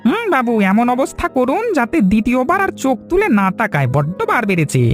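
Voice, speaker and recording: Cartoon informer, played by a man: middle-aged male, obsequious schemer, very animated intonation, light music underneath. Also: very high-pitched, a little fast. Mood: fawning. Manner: gossipy, obsequious, exclamatory. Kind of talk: acted cartoon story dialogue.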